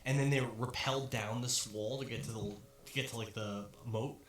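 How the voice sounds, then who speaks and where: A man narrating as dungeon master for a tabletop game, describing scenes with pauses and fillers. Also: medium pitch, fairly even intonation, a bit bright. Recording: echoey room, music behind.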